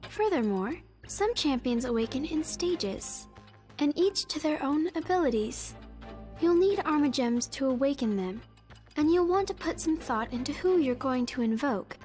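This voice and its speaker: An actress playing a composed otherworldly guide in a video game, explaining things in a measured, instructive way. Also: female voice, sits high, animated, darkish tone, faint music behind. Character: otherworldly woman guide who explains summoning rules, composed. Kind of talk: video game cutscene acting.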